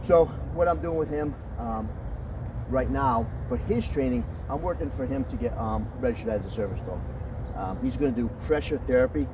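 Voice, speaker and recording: A man with a deep voice explaining how to train his dog, in a casual, conversational way that keeps checking in with "okay?". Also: moderate pace. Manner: conversational, often checks in with "okay?", jumps to a high praise voice. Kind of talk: casual outdoor training talk. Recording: distant mic with outdoor street noise.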